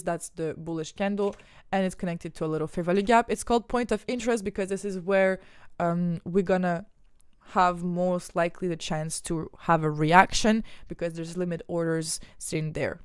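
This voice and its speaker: A woman's voice: medium pitch, darkish tone, clean tone, no echo, studio quality. A young woman from Ukraine with a mixed French and Ukrainian accent, explaining trading charts on a live stream and answering viewers' questions. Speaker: trading educator.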